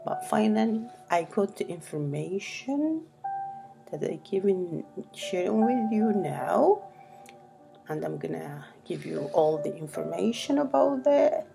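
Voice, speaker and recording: Slow gravelly-voiced Moroccan-born woman. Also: on the low side, big pitch swings, over music. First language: Arabic. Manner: rambling, frequent restarts and "like" fillers. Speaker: self-publishing tutorial host. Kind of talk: unscripted spoken tutorial.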